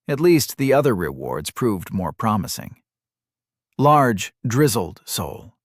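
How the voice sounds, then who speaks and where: A man narrating a fantasy audiobook in a measured way, reading out game item descriptions. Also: lowish voice, no echo, studio quality.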